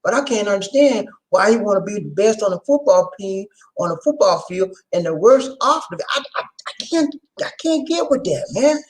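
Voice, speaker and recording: A man from Charleston, South Carolina with a Geechee accent, hosting a casual livestream chat, lively and preachy, often laughing and talking to viewers. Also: sits high, moderate pace, low-quality recording. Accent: Gullah Geechee, South Carolina Lowcountry. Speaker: livestream host.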